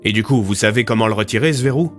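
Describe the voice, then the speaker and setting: A man voicing a brisk, practical, plain-spoken police inspector in an audio story, speaking in short clipped steps. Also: fairly low pitch, soft background music, natural voice. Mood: curious.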